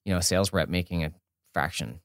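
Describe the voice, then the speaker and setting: A man's voice: low voice, fast talker, dry recording, studio quality. A man being interviewed as a guest, an entrepreneur and author, talking informally and thinking aloud as he breaks off and rephrases. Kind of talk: casual conversational interview.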